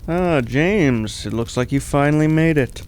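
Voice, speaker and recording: A man's voice: on the high side, animated, measured pace, darkish tone, slight background noise. A man playing a smug, condescending, unyielding boss in an audio drama. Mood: smug, firm.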